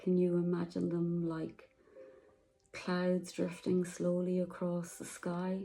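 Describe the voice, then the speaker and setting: A woman guiding a meditation, speaking softly and slowly with long pauses. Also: lowish voice, completely flat delivery, creaky. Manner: slow, soft, soothing, with long pauses. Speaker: meditation guide. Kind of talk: guided meditation.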